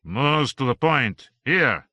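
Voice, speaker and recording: A tiny, dry-witted old philosopher who speaks wryly in a slightly raised voice, as a male narrator puts him on. The delivery is measured and judicious. The sound is dry and close. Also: mid-range voice, sing-song, bright, forceful tone, no room sound, voice pushed up, heavily acted. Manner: wry, judicious.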